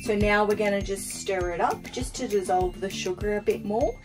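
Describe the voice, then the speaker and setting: A woman's voice: mid-range voice, normal speed, loud music behind the voice. Cheerful young woman cooking on camera. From Australia. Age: young adult. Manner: cheerful, step-by-step explaining. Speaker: vlogger cooking in a caravan. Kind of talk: casual cooking tutorial. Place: small caravan kitchen.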